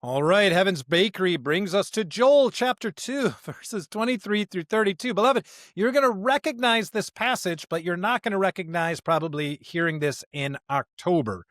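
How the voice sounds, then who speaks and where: A man's voice: high-pitched, lively intonation, normal speed, hardly any pauses, a bit bright, no echo, studio quality. A man co-hosting a podcast, discussing and reading scripture in an emphatic, conversational way. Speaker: podcast co-host.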